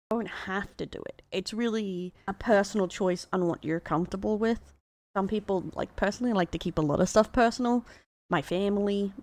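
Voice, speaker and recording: A woman's voice: mid-range voice, darkish tone, no echo. Giggly Australian woman, dry close mic. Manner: chatty, laughing often, amused by the posts. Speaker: VTuber commentator. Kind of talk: casual reaction commentary.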